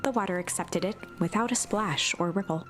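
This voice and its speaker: A measured storybook narrator, put on by a woman, telling a mystery game's tale with deliberate, dramatic pauses. The pitch is average, with music behind. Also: lightly acted. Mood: suspenseful. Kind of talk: scripted narration read aloud.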